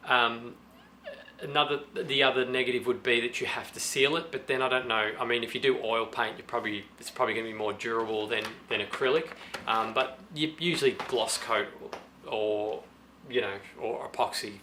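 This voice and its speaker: A slightly hoarse man with a sore throat, casually thinking aloud through a do-it-yourself how-to demonstration with many restarts. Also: mid-range voice, fairly even intonation, a little fast, pushed and bright, a little hiss or hum. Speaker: DIY guitar pedal vlogger.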